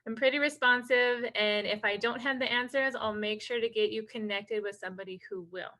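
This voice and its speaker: A woman's voice: on the high side, fairly even intonation, a little fast, bright, forceful tone. A woman from North Dakota, a native Hidatsa speaker, giving an informal online presentation in an upbeat, enthusiastic way as a program director. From North Dakota, USA.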